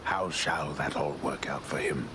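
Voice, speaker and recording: A man's voice: a bass voice, measured pace, background noise. A man playing a grave, wry storyteller narrating a myth in a video game, with florid, droll phrasing. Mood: solemn, wry. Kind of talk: theatrical scripted game narration.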